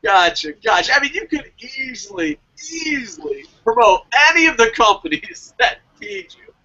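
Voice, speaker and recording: A man's voice: very high voice, sing-song, normal speed, sharp tone, dull audio. A man hosting a casual wrestling review podcast, chatting informally with some swearing. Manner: profane, informal. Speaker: podcast host.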